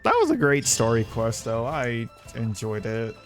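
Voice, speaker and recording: A man chatting casually as a game streamer, informal and rambling, often halting mid-thought. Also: mid-range voice, big pitch swings, faint music behind. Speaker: video game livestreamer. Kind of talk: casual livestream chat.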